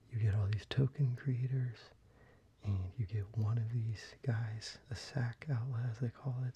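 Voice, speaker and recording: A man speaking in a soft, breathy whisper, gently rambling in a casual ASMR style. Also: mid-range voice. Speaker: ASMR video creator. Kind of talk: soft-spoken ASMR, casual card-collection show-and-tell. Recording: muffled, with background hiss.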